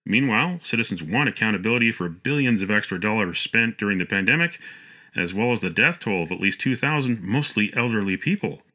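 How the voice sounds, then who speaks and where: A man hosting a news interview, crisply reading prepared questions. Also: on the low side, lively intonation, brisk, bright, forceful tone. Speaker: interview host.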